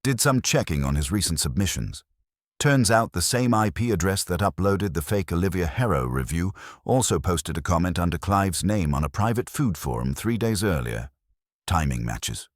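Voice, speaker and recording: A man voicing a calm, clipped private investigator reporting facts with a slightly uneasy edge in an audio story. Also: deep voice, normal speed, no room sound, studio quality.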